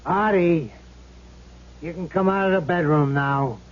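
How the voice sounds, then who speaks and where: An actor playing the ghost of a brash, wisecracking gangster in a 1970s radio drama, talking in tough, curt, bossy slang. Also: male voice, a little high, measured pace, a little hiss or hum, lightly acted. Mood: matter-of-fact. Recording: muffled old radio broadcast.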